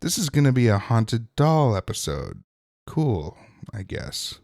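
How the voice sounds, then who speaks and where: A man's voice: medium pitch, very animated, measured pace, creaky, over loud music. A man narrating a casual, colloquial recap as host, with wry, joking asides. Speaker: host of a TV episode recap show.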